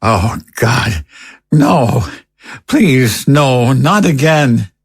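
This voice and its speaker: A man's voice: medium pitch, animated, very slow, husky, heavily acted. A man playing a tormented, desperate adult son in an audio horror drama, weary and pleading.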